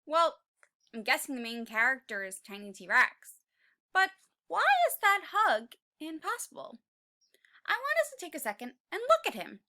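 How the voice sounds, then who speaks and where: Animated, bright-voiced woman reading to children. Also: high-pitched, normal speed. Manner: expressive, prompting listeners with questions. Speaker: read-aloud teacher. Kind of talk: read-aloud to young children with questions.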